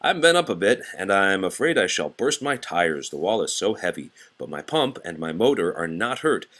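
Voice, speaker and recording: A man's voice: deep voice, big pitch swings, normal speed, a bit bright, heavily acted. A man voicing a loyal old little fire engine in a storybook, brave, earnest and reassuring. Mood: brave, strained.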